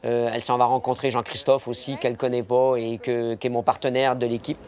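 A man in his sixties, a team manager giving a documentary interview, pausing often with fillers. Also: mid-range voice, little pitch movement, a little mellow. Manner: hesitant, many fillers. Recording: interview under a music bed.

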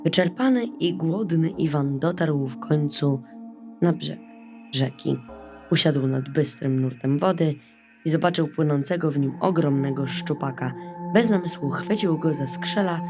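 A woman storyteller narrating a bedtime fairy tale in an expressive, scripted style. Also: deep voice, measured pace. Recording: music under the voice.

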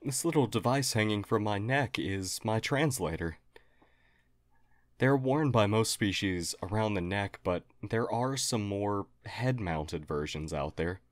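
A man playing a polite, earnest, apologetic humanoid alien in an audio roleplay, speaking formally and haltingly. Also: on the low side, expressive, no room sound. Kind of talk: audio roleplay acting addressed to listener.

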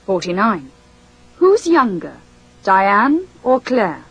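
A woman reading a scripted language course drill, slowly and with careful enunciation. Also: medium pitch, very animated, breathy edge, rough recording. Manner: slow, deliberate, carefully enunciated.

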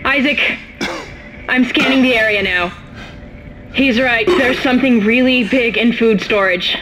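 A woman's voice: on the high side, unhurried, over music, natural voice, rough recording. A woman playing an anxious but practical crew technician in a video game, giving a teammate urgent guidance. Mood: worried, urgent. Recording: faint noise behind the voice.

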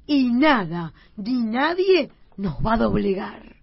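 Actress as animated, indignant tenement wife. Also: female voice, a little high, slow, gravelly, breathy edge, highs cut off, exaggerated voice. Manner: declamatory. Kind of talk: comic stage radio play acting.

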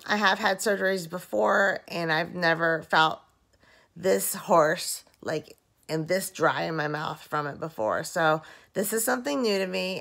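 Hoarse, exhausted woman vlogging from bed. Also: fairly low pitch, fairly bright tone. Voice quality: dry-mouthed, rough voice. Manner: slow, drowsy, rambling. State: hoarse and very tired after surgery. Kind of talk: casual recovery video diary. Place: lying in bed at home, indoors. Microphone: phone held at arm's length.

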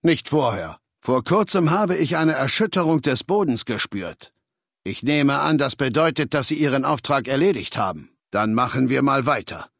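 An actor playing a commanding, ruthless middle-aged warlord in a video game, authoritative and deliberate, with a gravelly, husky voice. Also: male voice, mid-range voice, lively intonation, no room sound, clean studio sound. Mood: stern, authoritative. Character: commanding, ruthless male warlord, leader of a legion. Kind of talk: dramatic video game voice acting, German dub. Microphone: close, dry studio recording.

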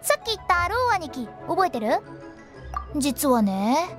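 A woman's voice: high voice, very animated, a little slow. A woman voicing a cheeky teenage girl who runs a funeral parlor in an anime game, teasing and theatrical with a sweet salesy patter. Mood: animated, explaining. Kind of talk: Japanese anime game voice acting. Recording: music under the voice. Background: game music.

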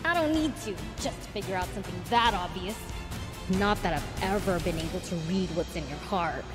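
A woman's voice: fairly low pitch, a little fast, over music, lightly acted. A woman voicing a scrappy young male demon prince in a video game, cocky, blunt and feisty. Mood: dry. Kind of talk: video game cutscene acting.

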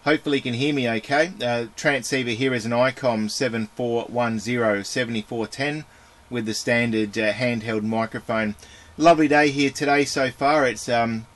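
An Australian man working as an amateur radio operator, speaking in procedural phrases, phonetic call signs and friendly radio chat. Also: mid-range voice.

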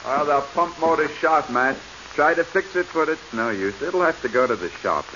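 Folksy, eager sidekick, old radio. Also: male voice, fairly high pitch, lively intonation, moderate pace, sharp tone. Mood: easygoing. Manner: folksy, chatty, repeats himself. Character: easygoing, eager male sidekick on treasure crew. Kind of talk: 1940s radio acting. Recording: muffled 1940s radio, faint hiss.

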